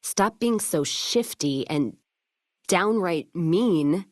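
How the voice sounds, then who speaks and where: A woman's voice: on the low side, lively intonation, drawn-out words, darkish tone, no echo, clean studio sound. A woman playing a tough, hard-drinking, world-weary caravan owner in a video game, blunt, sardonic and foul-mouthed. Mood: stern, blunt. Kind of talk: video game character dialogue.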